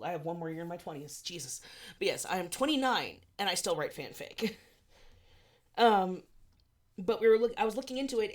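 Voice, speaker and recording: Lively, low-voiced woman in her twenties. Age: 20s. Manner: animated, swearing, self-deprecating. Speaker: podcast co-host. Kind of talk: casual chat.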